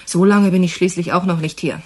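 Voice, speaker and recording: An actress playing a sharp-tongued, teasing woman in a radio crime drama, with a mocking, blunt way of talking. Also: fairly low pitch, steady tone, fairly quick.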